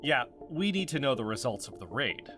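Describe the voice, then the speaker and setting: An eager, conspiracy-minded amateur investigator, a man with a very animated delivery. It is a podcast drama, with faint music behind the voice. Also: mid-range voice, brisk. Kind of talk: audio drama podcast dialogue.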